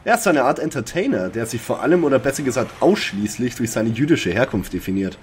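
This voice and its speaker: A man's voice: medium pitch, sing-song, a little fast, a little hiss or hum, natural voice. A man playing a skeptical, sarcastic young detective in a radio play parody. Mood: brisk.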